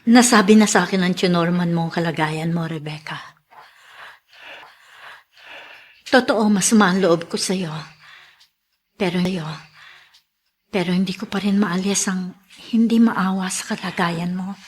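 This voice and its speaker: A woman playing an anxious, soft-hearted mother in a radio drama, speaking hesitantly and emotively. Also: on the low side, normal speed, husky. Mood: sad, pitying. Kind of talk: Filipino radio drama acting.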